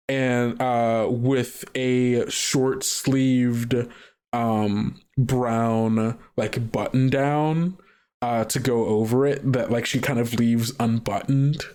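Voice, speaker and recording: A man's voice: medium pitch, fairly even intonation, very slow, a little mellow, clean tone. Male game master, casual tabletop podcast, middle-range voice, hesitant improvised narration. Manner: hesitant, thinking aloud, trailing off.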